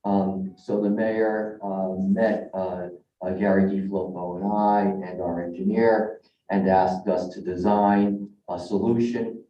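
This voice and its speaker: Man pitching a project to a board, halting with lots of ums, dull muffled audio in an echoey hall. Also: flat delivery, a little hiss or hum. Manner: informal, halting, with many "um" and "uh" fillers. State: tired and losing his voice. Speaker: developer's representative presenting a project. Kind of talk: presentation to a zoning board. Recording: dull, echoey council chamber audio, mic at a distance.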